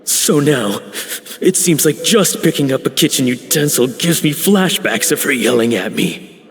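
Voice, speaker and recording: A man's voice: medium pitch, expressive, measured pace, vocal fry. An actor voicing a middle-aged male chef and father who talks earnestly but hesitantly. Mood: anxious. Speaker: video game character. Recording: reverberant game audio with music.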